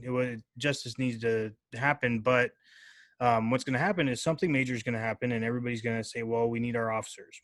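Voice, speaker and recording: A man's voice: medium pitch, fairly bright tone, no room sound. Chill guy hosting a podcast, kinda rambly, lots of ums, dead dry sound. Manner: loose, rambling, lots of filler words. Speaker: podcast host. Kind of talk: casual interview chat.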